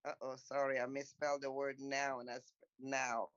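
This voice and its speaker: A woman's voice: a bass voice, flat delivery, normal speed, no echo. A woman from Congo whose first language is French, chatting as she hosts an online panel. She is encouraging and talks through what she is doing. Her voice comes through a muffled, very dry online line. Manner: chatty, encouraging, thinks aloud while typing. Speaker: host and moderator of an online panel. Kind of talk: casual hosting of an online talk.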